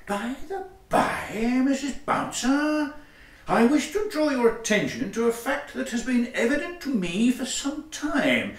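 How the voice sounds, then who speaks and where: A man's voice: extremely high pitch, sing-song, unhurried, reverberant, recorded from a distance, slight background noise, heavily acted. A man playing a fussy, pompous, sarcastic Victorian lodger in a farcical audio play. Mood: pointed, indignant. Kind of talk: theatrical farce acting for audio play.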